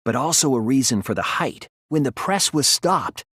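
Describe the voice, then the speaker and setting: A man voicing an earnest young male student detective in a video game, explaining his deductions in a deliberate, thoughtful way. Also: medium pitch, animated, a bit bright, slightly rough, no echo, clean studio sound. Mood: measured reasoning. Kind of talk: scripted video game voice acting, deduction speeches.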